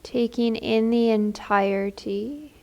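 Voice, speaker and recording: A woman leading a guided meditation in a gentle, soothing, unhurried manner. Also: a little high, fairly even intonation, vocal fry, lo-fi sound.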